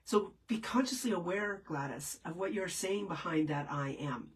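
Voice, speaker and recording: Low-voiced woman with a head cold. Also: expressive, normal speed, distant mic. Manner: meandering, many fillers and restarts. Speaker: spiritual teacher answering viewer questions. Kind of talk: casual live Q&A.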